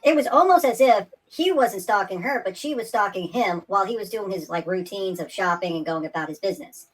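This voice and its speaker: Sarcastic woman with mid-pitched voice. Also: fairly quick, poor audio. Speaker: co-commentator on a livestream. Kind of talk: casual reaction commentary.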